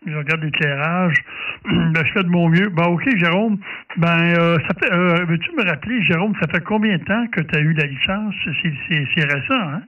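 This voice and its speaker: A man with a Quebec French accent and a fairly high voice talks casually as an amateur radio operator. He speaks haltingly, feeling for words. The sound is narrow and tinny, as if picked up away from the microphone. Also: distant mic. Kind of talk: casual ham radio chat.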